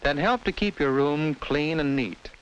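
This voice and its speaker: A man's voice: fairly high pitch, measured pace, clean tone, highs cut off. An American man narrating a 1950s educational film in simple, instructive storytelling addressed to children, on a vintage film soundtrack.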